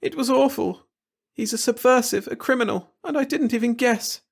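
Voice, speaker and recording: A man's voice: extremely high pitch, creaky, slightly rough, pitched above the performer's own voice, exaggerated voice. A man voicing a shocked, tearful young woman, a dramatic daughter in a storybook, with an upset delivery. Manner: halting, upset, breathless delivery.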